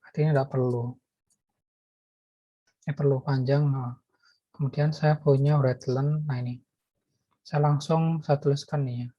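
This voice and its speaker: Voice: medium pitch, steady tone, moderate pace, pauses often, no room sound, muffled recording. Lecturer thinking aloud over code. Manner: thinks aloud with many fillers and restarts. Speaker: programming lecturer. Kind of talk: live coding lecture.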